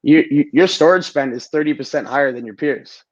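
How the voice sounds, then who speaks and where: A man with a raspy voice from a recent cold, talking casually as a guest and often repeating words as he starts sentences. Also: on the high side, brisk, lo-fi sound. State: recovering from a cold. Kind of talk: casual interview talk.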